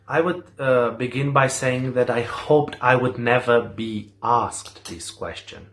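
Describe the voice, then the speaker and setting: A man in his twenties from Romania, giving measured, reflective interview answers. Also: mid-range voice, gravelly, fairly bright tone, faint music behind. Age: 20s.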